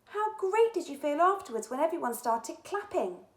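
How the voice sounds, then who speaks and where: A woman voicing an excitable, caring young schoolgirl in a storybook, eager and encouraging. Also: high voice, moderate pace, voice pushed up. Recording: echoey room.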